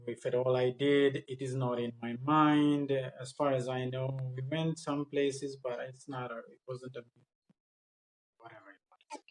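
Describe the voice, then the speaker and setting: A man's voice: medium pitch, low-quality recording. A man working as an English teacher, bluntly instructing and asking practice exam questions. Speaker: English speaking-test teacher. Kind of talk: mock speaking exam, questioning and coaching.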